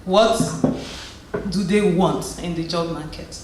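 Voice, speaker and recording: A woman's voice: fairly low pitch, fairly even intonation, slow pace, darkish tone. Woman speaking in an echoey hall. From Nigeria. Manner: conversational storytelling with frequent restarts. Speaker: guest speaker at a community event. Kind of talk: personal testimony and encouragement. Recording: very echoey hall with faint noise.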